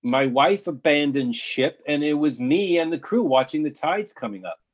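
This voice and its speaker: A man's voice: on the high side, expressive, moderate pace. A man reading a boat owner's monologue about tying down his vessel, practical and descriptive. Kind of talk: readers theatre monologue.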